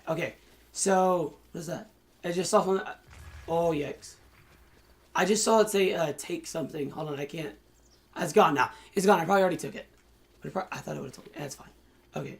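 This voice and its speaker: A hoarse young man in college hosting a casual, excitable let's-play commentary with lots of fillers. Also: a little high, fairly quick, long pauses. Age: young adult. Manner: excitable, chatty, many fillers. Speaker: gaming channel host.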